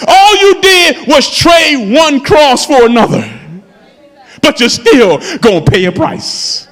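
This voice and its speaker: High-voiced preacher in a reverberant church. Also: male voice, big pitch swings, slow, a bit bright. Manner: impassioned preaching, calls out to the congregation. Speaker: pastor. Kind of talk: sermon. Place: church sanctuary. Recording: long hall reverb in a church.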